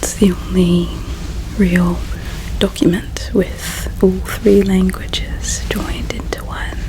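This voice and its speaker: Woman as female alien believer, grieving and devout. Breathy whisper, soft, solemn, unhurried ASMR roleplay delivery. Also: fairly low pitch, flat delivery, low-quality recording. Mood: reverent, gentle. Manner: slow, soft, solemn, with pauses. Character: grieving, devout alien priestess's daughter preparing someone for war.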